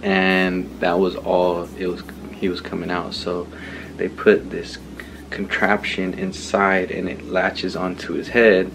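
Man in his twenties, low voice, slangy casual vlog chat, noisy handheld camera recording. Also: level tone, slow pace. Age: 20s. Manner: slangy, blunt, swearing. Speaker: vlogger and new father. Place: small hospital room. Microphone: handheld vlog camera at arm's length. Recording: clearly noisy room ambience.

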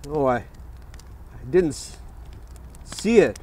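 A man's voice: fairly high pitch, very animated, takes it slowly, dark tone, a little hiss or hum. A man in his thirties co-hosting a comedy sports show, delivering lines with mock-dramatic earnestness outdoors in the snow. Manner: theatrical, gravely earnest delivery played for laughs. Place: outdoors in snow beside a stadium.

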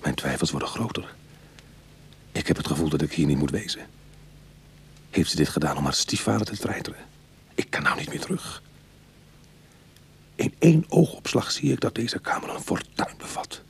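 A reformed burglar in a radio drama, speaks in a very husky, lowish voice that swings widely in pitch. He is streetwise and uneasy, confiding his story as he goes. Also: very animated, moderate pace, long pauses, exaggerated voice. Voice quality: husky, breathy. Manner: hushed confiding narration, exclamatory in dialogue.

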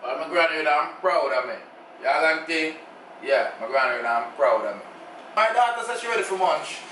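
A man's voice: on the high side, lively intonation, normal speed, pushed and bright, echoey room, slight background noise, poor audio. A middle-aged Jamaican man hosting a casual home cooking vlog, talking to the camera in a chatty way with patois asides. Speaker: cooking channel host. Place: home kitchen, indoors. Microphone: camera on the counter.

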